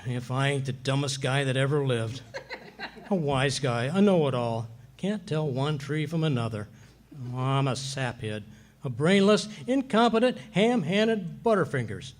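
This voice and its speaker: An actor playing a blustering, bumbling but soft-hearted husband in folksy, slangy 1940s radio comedy style. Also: male voice, medium pitch, lively intonation, measured pace, a little hiss or hum, lightly acted. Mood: exasperated self-scolding.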